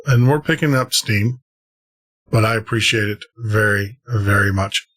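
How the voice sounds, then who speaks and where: A man's voice: on the low side, unhurried, fairly bright tone, rough recording. A man hosting a late-night paranormal radio podcast, closing the show in a casual, upbeat way and often repeating words and restarting phrases. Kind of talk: casual on-air sign-off with announcements.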